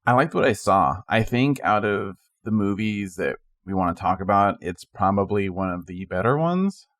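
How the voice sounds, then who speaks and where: A man's voice: lowish voice, animated, normal speed, a bit bright, dry recording, studio quality. A man co-hosting a podcast, chatting casually in a laid-back, informal way. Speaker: podcast co-host. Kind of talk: casual chat.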